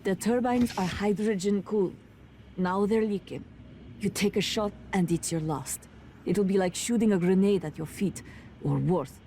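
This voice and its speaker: A woman's voice: medium pitch, normal speed, mellow, slight background noise, close to an everyday voice. A woman playing a tough, watchful station crew member in a video game, with a dry, wry, matter-of-fact delivery. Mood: cautioning.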